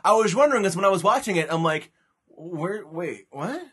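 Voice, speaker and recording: A man's voice: high voice, lively intonation, moderate pace, husky. A man co-hosting a casual chat, teasing his friend and rambling when he loses his thread. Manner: teasing, rambling, trails off quietly. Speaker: podcast co-host. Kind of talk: casual banter.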